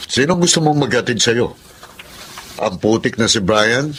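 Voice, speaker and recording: An actor with a Filipino accent playing a jealous, aggressive young suitor in a radio drama. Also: male voice, medium pitch, expressive, a little slow, a bit bright, slight background noise, low-quality recording. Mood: insistent.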